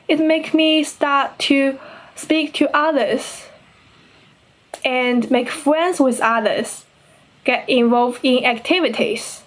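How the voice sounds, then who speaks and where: A young woman from China with a Chinese accent, an international student giving an earnest, carefully paced assembly speech in her second language. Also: on the high side. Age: young adult. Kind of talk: prepared school assembly speech.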